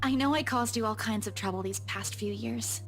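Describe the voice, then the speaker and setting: A woman's voice: fairly high pitch, normal speed, fairly bright tone. An actress voicing an earnest, devoted young woman in a visual novel game. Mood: teasing. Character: Isabella, earnest young woman, devoted to family, teasing friend. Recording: music behind the voice. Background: game music.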